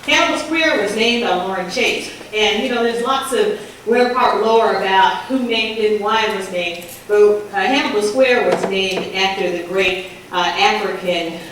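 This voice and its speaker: A woman researcher giving a conversational public lecture, with a voice she calls booming. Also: normal speed, fairly bright tone. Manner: conversational, faith-infused, says she has a booming voice. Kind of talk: lecture with slides. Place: large echoey community room. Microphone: handheld or stand mic, moving off it at times. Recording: distant, echoey, hissy room recording.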